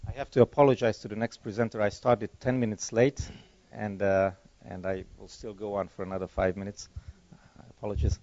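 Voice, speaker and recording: A man's voice: fairly low pitch, flat delivery, a little fast, a little mellow. A middle-aged man, a native Hungarian speaker with a Hungarian accent, answering audience questions at a conference in a chatty, digressive way that corrects itself as he goes. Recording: dull lo-fi conference recording.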